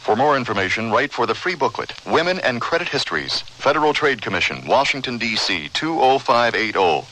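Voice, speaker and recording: A man's voice: medium pitch, big pitch swings, brisk, hardly any pauses, pushed and bright, low-quality recording. A man reading a scripted public service announcement on the radio.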